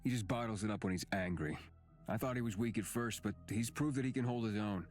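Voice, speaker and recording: A man playing a level-headed, wary companion in a fantasy visual novel, speaking in a measured, calm way. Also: lowish voice, soft background music, lightly acted. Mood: matter-of-fact.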